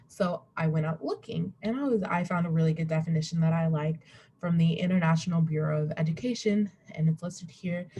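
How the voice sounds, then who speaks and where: Mellow-voiced young woman presenting informally. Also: sits low, low-quality recording. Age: young adult. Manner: rambling, self-interrupting, chuckles at herself. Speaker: student presenter. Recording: webcam recording with faint background noise.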